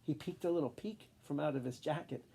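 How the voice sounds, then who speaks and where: Husky, creaky man reading a storybook. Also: a little high, fairly quick, mellow. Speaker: children's story reader. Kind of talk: expressive storybook read-aloud.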